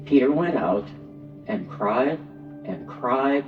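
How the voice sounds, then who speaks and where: A man giving an expressive, dramatic narration of a Bible story. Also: medium pitch, takes it slowly. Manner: expressive, acts out quoted lines. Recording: distant, echoey church hall, dull sound, music.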